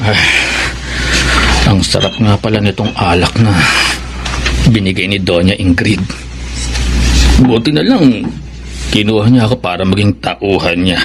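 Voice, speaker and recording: A Filipino actor playing a brash, greedy young henchman in a radio drama, cocky yet quick to fawn. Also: fairly low pitch, very noisy. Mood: tipsy, pleased. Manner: cocky with family, fawning and hesitant with superiors.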